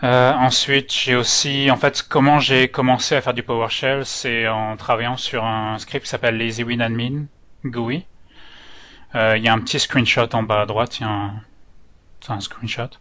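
A man's voice: mid-range voice, fairly even intonation, normal speed, bright, forceful tone. A man from France with a French accent tinged by Canadian intonation, giving an informal, slightly hesitant technical presentation as a user group organizer. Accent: French with Canadian-influenced intonation. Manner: hesitant, nervous speaker. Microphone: online meeting microphone. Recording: dull, lo-fi online call audio.

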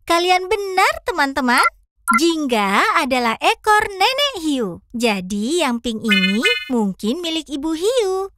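A woman's voice: high-pitched, big pitch swings, takes it slowly, sharp tone, heavily acted. A woman voicing a cheerful, curious little fox who hosts a children's cartoon with enthusiasm and encouragement. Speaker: host guiding young viewers. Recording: children's music behind.

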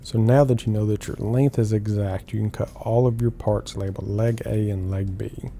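A middle-aged man calmly explaining woodworking steps one at a time in an instructional voice-over. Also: fairly low pitch, normal speed, creaky. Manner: calm, step-by-step explaining. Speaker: woodworking host.

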